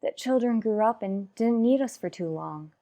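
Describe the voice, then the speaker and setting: Actress playing an anguished wife and mother, mellow voice. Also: on the high side, animated. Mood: regretful, yearning. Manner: earnest, measured, dramatic pauses. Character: anguished, unhappily married mother in a soap opera. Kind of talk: radio soap opera acting.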